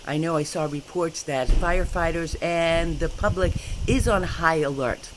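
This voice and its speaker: A woman's voice: deep voice, moderate pace, a little hiss or hum, poor audio. A woman hosting a travel show, interviewing people in a friendly, upbeat way. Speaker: show host.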